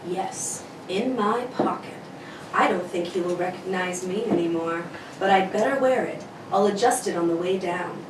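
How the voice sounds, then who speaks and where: Man acting a guarded leader under loud noise. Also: high voice, lightly acted. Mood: guarded, quiet. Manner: terse, measured, guarded. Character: cautious, commanding masked male leader of secret group. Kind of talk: amateur read of a 1940 radio serial. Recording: echoey, distant, loud noise.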